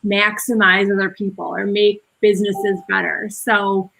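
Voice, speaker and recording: A woman in her thirties with a clear Wisconsin accent talks over a video call. Her voice is bright and forward, and she explains her work in long, upbeat run-on sentences. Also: mid-range voice, little pitch movement, unhurried, low-quality recording. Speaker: guest, productivity coach. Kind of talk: casual video chat.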